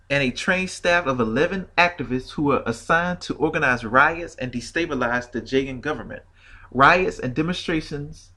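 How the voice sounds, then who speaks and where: A man's voice: a little high, a bit bright, slightly rough. A man narrating a scripted history lecture, reading from a prepared text with the odd slip and restart. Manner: reads a prepared script with occasional slips and restarts.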